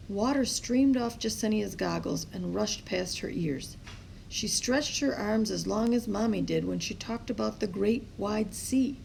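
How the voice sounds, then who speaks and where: A woman with a creaky voice reading a children's story aloud expressively, as a storyteller. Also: medium pitch, a little mellow, slight background noise, low-quality recording. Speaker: storybook reader.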